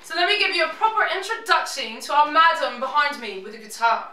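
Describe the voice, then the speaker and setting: A woman with a high, bright voice hosts a live house concert, putting on a British accent. She keeps it jokey and self-mocking. The sound is roomy and picked up from a little way off the mic. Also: expressive, fairly quick, recorded from a distance. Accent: put-on British. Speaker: host of a live music show. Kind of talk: playful show introduction. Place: living room show space in a house.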